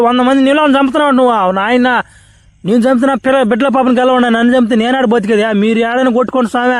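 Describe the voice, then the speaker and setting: A man voicing a cowardly, grumbling underling in a comedy cartoon, in a rambling, complaining way. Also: very high-pitched. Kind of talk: comic cartoon dubbing.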